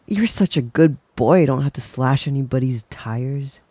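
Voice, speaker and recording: A man voicing a possessive, doting, menacingly devoted wolf boy lover in an intimate ASMR roleplay, breathy and husky, often slipping into a whisper, with a tender, teasing manner. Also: medium pitch, mellow, dry recording, close to an everyday voice. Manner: slow, tender, teasing, giggly.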